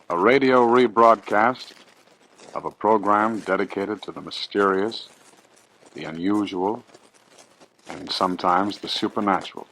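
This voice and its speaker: A man's voice: low-pitched, pushed and bright. A man announcing a 1940s radio program in a portentous style. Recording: noisy old radio recording.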